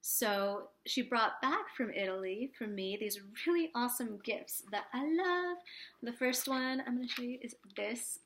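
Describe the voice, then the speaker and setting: A woman with a cold, sniffly and a little rough, chatting casually to camera as a spiritual vlogger, rambling with lots of fillers and restarts. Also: on the high side, expressive, a little slow, a bit bright, slight background noise.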